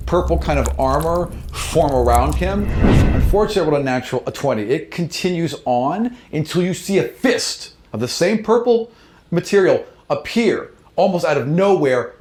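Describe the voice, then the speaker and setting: A man's voice: on the high side, a little mellow. A man narrating a tabletop game as game master, switching between vivid storytelling and casual rulings. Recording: roomy echo, faint background noise.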